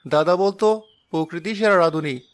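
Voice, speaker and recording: A man's voice: sits high, moderate pace, slight background noise, natural voice. A man playing a poor, earnest young father and cook in a cartoon drama, anxious but hopeful. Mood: musing. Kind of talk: Bengali cartoon drama voice acting.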